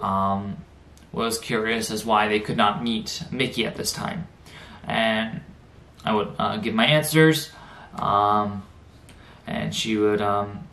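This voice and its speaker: A young American man, around twenty, talking casually and haltingly to camera in a vlog, pausing often mid-sentence. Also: fairly low pitch, pushed and bright. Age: young adult, around 20. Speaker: vlogger. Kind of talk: casual reaction vlog, recounting an experience. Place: small bedroom, indoors. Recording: roomy, echoey bedroom sound.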